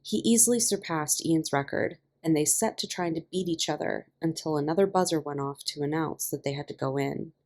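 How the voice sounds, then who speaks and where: A woman reading a story aloud as a podfic narrator, with expressive scripted delivery. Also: low voice, a little fast, hardly any pauses. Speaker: podfic reader.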